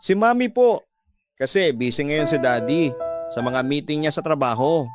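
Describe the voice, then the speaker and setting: A polite little schoolboy in a radio drama, put on by a man. The delivery is cheerful and respectful. Music is clearly heard underneath. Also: fairly high pitch, lively intonation, normal speed, lightly acted. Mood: matter-of-fact. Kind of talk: Filipino radio drama acting.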